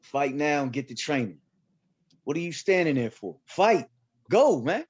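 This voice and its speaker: A man's voice: fairly high pitch, big pitch swings, measured pace, slight background noise. A man reading the part of a pushy, ranting boxing coach in a film script table read, emphatic and commanding. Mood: fierce, commanding. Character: ranting, pushy male boxing coach, conspiracy-minded, gruff. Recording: dull, lo-fi video call.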